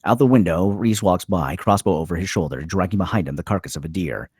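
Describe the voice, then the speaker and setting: A man's voice: deep voice, fast, a little mellow, breathy edge, no room sound, studio quality. A man reading stage directions as the narrator of a screenplay table read.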